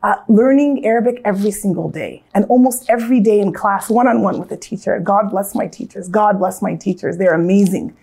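A woman's voice: medium pitch, fairly quick, gravelly, hardly any pauses, warm tone, husky. An American woman from Southern California, in her thirties, reflecting earnestly on her life in a casual, storytelling way, with frequent restarts.

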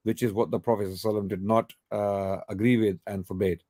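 A man's voice: lowish voice, fairly even intonation, rapid-fire, dark tone, dry recording, clean studio sound. A man hosting a live call-in question and answer show, who answers emphatically and repeats his key points. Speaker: host answering callers' questions.

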